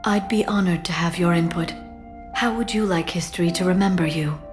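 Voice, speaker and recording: A woman playing a gentle, earnest alien scholar in a video game, speaking softly and with measured sincerity. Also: on the low side, over music, close to an everyday voice. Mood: earnest, warm. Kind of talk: dramatic video game acting.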